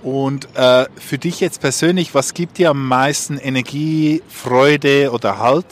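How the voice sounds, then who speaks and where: A man's voice: medium pitch, a little slow, slight background noise. A man hosting a podcast in a casual, informal way, phrasing his questions and remarks a little hesitantly. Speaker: podcast host and street interviewer. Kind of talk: casual street interview and podcast chat.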